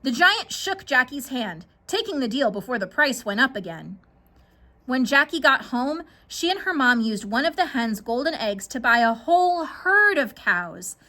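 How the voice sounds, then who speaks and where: A woman narrating a scripted children's story in a dramatic, expressive way. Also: high-pitched, moderate pace.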